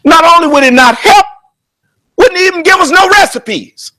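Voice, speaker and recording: Loud, high-voiced preaching man. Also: lively intonation, moderate pace. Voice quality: loud, booming voice. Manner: emphatic preaching with rhetorical questions. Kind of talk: sermon at a prayer service.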